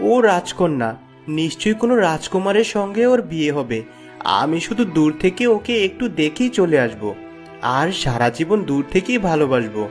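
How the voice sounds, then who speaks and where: A man's voice: a little high, very animated, normal speed. Man acting young cartoon villager, humble, lovesick, earnest, faint music behind. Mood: earnest, devoted. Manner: earnest, devoted. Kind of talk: animated fairy-tale dialogue.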